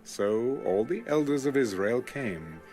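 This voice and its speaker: A man reading scripture as a scripted audio Bible narration, in a measured, formal way. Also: mid-range voice, expressive, darkish tone. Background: music under the reading.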